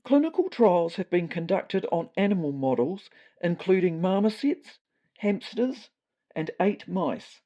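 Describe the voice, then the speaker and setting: A woman's voice: fairly low pitch, very animated, a little slow, warm tone, lo-fi sound. A woman with a New Zealand accent voicing an earnest, tentative male cat who explains things in formal, bureaucratic language.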